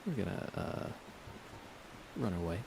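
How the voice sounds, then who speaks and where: A man softly talking to himself while livestreaming a video game in a hushed, casual ASMR style, hesitant and musing. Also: deep voice, very animated, measured pace, gravelly, noisy background. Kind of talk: ASMR gaming commentary, hushed casual chat.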